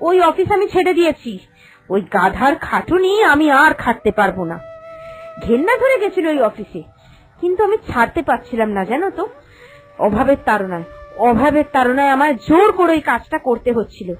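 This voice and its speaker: A woman's voice: sits high, sing-song, fairly quick, soft background music, rough recording. A woman voicing a greedy, headstrong young wife in a cartoon fairy tale, in a theatrical, complaining, emphatic manner. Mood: indignant.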